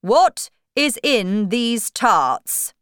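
A woman's voice: fairly high pitch, very animated, extremely slow, a bit bright, dry recording, studio quality, voice pushed up, heavily acted. A woman voicing a pompous, stern king who commands and questions in a storybook. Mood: questioning.

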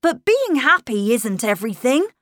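A woman's voice: sits high, sing-song, moderate pace, a bit bright, dry recording, studio quality, voice pushed up. A woman voicing a polite, charming young boy who knows he has the upper hand, in an audiobook reading. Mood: polite, insistent. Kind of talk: audiobook character voice.

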